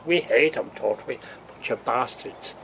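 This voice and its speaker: A woman hosting a comic monologue, gossiping in a pious, scolding way and dropping low for asides. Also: unhurried, gravelly, a little hiss or hum, rough recording. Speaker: comedy show host.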